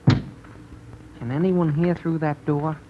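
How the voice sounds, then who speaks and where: A man playing an excitable, secretive teenage best pal who coaxes urgently, on a noisy old radio comedy recording. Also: on the high side, slow, highs cut off. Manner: urgent, coaxing. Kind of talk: 1930s radio comedy acting.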